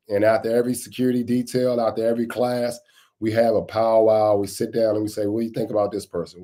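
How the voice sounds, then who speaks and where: A man hosting a casual live stream, his voice a bit raspy as he gets over a cold, greeting chat viewers by name and repeating points for emphasis. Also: fairly low pitch, warm tone. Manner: repetitive, emphatic phrasing. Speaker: livestream host on security news.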